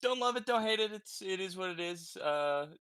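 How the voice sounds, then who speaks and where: Bright-voiced man chatting on a podcast. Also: high voice, expressive, normal speed, clear, resonant tone, no echo. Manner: informal, conversational, thinking aloud. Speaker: podcast host. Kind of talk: casual gaming podcast chat.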